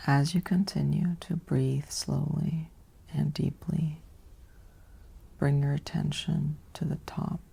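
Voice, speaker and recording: A woman guiding a sleep meditation in a soft, whispery, soothing voice with long pauses, like ASMR bedtime narration. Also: low voice, little pitch movement, vocal fry, husky.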